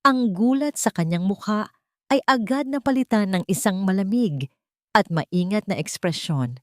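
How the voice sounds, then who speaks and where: A woman's voice: medium pitch, lively intonation, normal speed, dry recording, studio quality. A woman with a Filipino accent reading a dramatic scripted story as a narrator.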